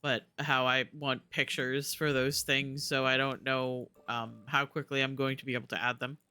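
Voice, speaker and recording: A man's voice: medium pitch, level tone, moderate pace, bright, forceful tone, clean tone, no echo. A man chatting casually and informally as he live-streams a video game, rambling and swearing now and then. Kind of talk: casual live-stream chat while gaming.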